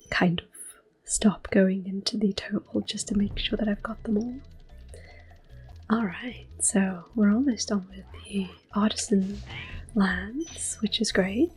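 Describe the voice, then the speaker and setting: A woman giving soft-spoken ASMR gameplay commentary as host, often slipping into a breathy whisper, in a gentle, unhurried way. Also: medium pitch, steady tone, rough recording. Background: soft video game music.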